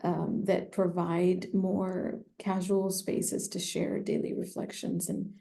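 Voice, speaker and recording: A woman who specializes in personal branding, giving measured, thoughtful advice in an interview with frequent fillers. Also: fairly low pitch, little pitch movement, creaky, mellow. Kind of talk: conversational expert advice.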